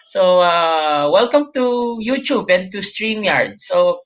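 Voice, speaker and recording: A man hosting an online livestream interview, talking in a halting way with many fillers and restarts. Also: high-pitched, animated, a bit bright. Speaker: host.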